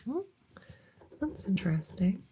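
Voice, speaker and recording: A woman playing a silly, harmless monster from under the bed in an ASMR audio roleplay, rambling softly and hesitantly with awkward earnestness. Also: mid-range voice, very animated, measured pace, slight background noise. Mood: musing, hushed. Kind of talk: ASMR audio roleplay, one-sided improvised-sounding interview.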